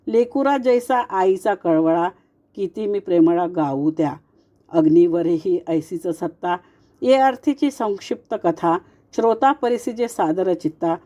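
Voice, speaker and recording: A woman reciting devotional verse in a measured, sing-song cadence. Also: on the low side, dark tone, muffled recording.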